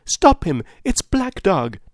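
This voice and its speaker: A man's voice: fairly high pitch, sing-song, normal speed, darkish tone, dry recording, studio quality, pitched above the performer's own voice. A man voicing an eager, earnest young cabin boy in an audiobook, polite and urgent. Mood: alarmed.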